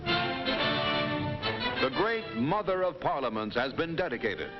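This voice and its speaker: A man's voice: a little high, sing-song, slow, over loud music. A man giving formal, stately scripted narration for a 1950s newsreel. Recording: dull vintage newsreel film soundtrack.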